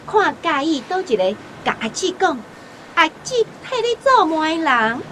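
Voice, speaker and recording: A woman's voice: high-pitched, sing-song, unhurried, fairly bright tone, slight background noise, heavily acted. A woman with a Taiwanese accent playing a bossy, meddling boss's wife who orders others about in a radio drama. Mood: coaxing, meddling.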